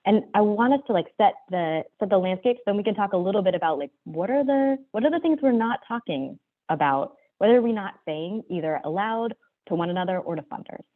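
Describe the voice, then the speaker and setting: A woman's voice: mid-range voice, expressive. A woman moderating an online panel in a chatty, loose way, thanking speakers and steering the talk. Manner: chatty, loose, with fillers and quick apologies. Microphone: video call. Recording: tinny phone-like video call audio.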